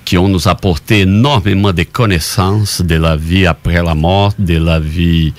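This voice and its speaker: Deep-voiced Brazilian man, earnest guest. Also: fairly even intonation, measured pace. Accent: Brazilian Portuguese. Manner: earnest, slightly non-native phrasing. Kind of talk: interview answers.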